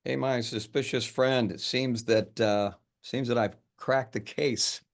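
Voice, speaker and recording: A man playing a driven, rattled detective in a film script read-through, with halting speech and repeated words. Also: mid-range voice, steady tone, creaky. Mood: pleased, breezy. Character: driven, rattled male detective obsessed with his case. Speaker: actor at a script table read.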